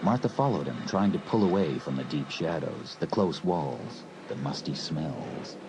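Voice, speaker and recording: A man narrating a horror audiobook in a measured, brooding storyteller's manner. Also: extremely low pitch, animated. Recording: hissy old cassette recording.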